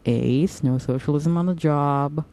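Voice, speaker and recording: Guy acting a whimsical young operative dude, soft dark tone, mock-dramatic teasing, audio drama. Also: a little high, unhurried. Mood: playful.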